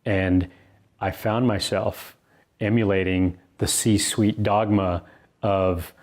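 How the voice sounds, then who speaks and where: A middle-aged man reading his own book, telling a personal story in an earnest, conversational way with thoughtful pauses. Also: fairly low pitch, steady tone, darkish tone.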